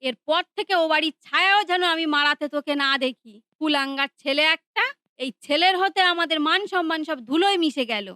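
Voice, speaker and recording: A woman's voice: brisk, sharp tone, no room sound, clean studio sound. A woman voicing a harsh, scolding mother and daughter-in-law in a cartoon. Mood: angry. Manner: shrill, scolding.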